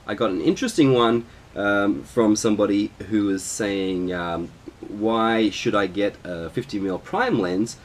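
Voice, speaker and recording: Very low male voice, congested from a cold, relaxed vlog-style explainer. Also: a little hiss or hum. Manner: relaxed, lots of ums and uhs. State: nasal from a cold. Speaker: photography vlogger. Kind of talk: casual tutorial talk to camera.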